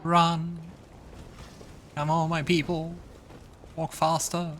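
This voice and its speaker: A man's voice: on the high side, monotone, takes it slowly, a bit bright, a little hiss or hum. A man hosting a let's play, mixing casual game commentary with narration read aloud. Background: game music.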